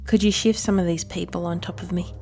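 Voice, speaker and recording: Low-voiced, high-strung trapped woman, acted out. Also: steady tone, a little fast, warm tone, faint music behind, lightly acted. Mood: frightened, hurried. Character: trapped shop worker woman, desperate, pleading, snippy. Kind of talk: audio horror drama acting.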